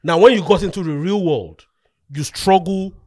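A man's voice: high-pitched, normal speed, a crowd in the background. A man hosting a podcast debate, talking emphatically and repeating himself to drive points home. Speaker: podcast host.

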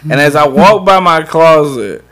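Podcast co-host, male, bright higher voice, animated storyteller. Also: unhurried. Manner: animated storytelling, laughs often. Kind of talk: casual chat.

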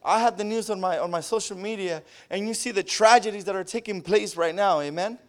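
A man with a Spanish accent preaching a sermon, tacking an amen onto nearly every phrase and rising into loud fervent bursts. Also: sits high, brisk. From Southern California. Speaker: pastor.

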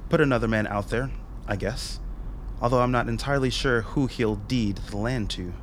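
An actor playing a measured, dry-witted major. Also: male voice, medium pitch, slight background noise, natural voice.

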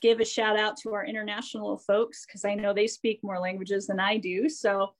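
A woman's voice: mid-range voice, little pitch movement, moderate pace. Woman, American accent, talk show host, upbeat, chatty, laughs easily. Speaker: host and therapist. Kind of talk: enthusiastic interview introduction.

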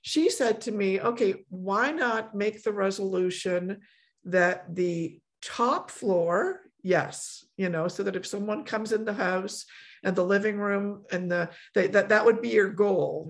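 A middle-aged woman hosting a podcast, talking in a relaxed, chatty way. Her voice has a gravelly edge. She keeps stopping and restarting her sentences and fills the gaps with words like "you know.". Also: mid-range voice, unhurried. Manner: chatty, many fillers and restarts. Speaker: podcast host. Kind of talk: casual conversation.

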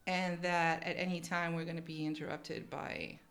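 A woman's voice: lowish voice, little pitch movement, moderate pace, a bit bright. A Portuguese-born woman in her thirties, whose first language is Portuguese, co-hosting a podcast as casual, giggly workplace chat. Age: 30s. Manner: giggly and chatty. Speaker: podcast co-host.

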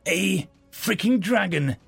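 A man's voice: very high-pitched, measured pace, vocal fry, soft background music, voice pushed up, heavily acted. A man voicing an embattled, defiant male hero in a fantasy audiobook, urgent and exclamatory. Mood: tense.

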